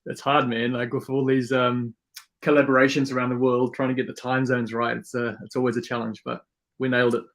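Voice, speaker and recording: A man from South Africa who grew up speaking Afrikaans chats as a podcast guest. His voice sits at an ordinary middle pitch. He talks in a relaxed, friendly way and fills pauses with ums and uhs. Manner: relaxed and friendly, many fillers. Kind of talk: casual interview chat.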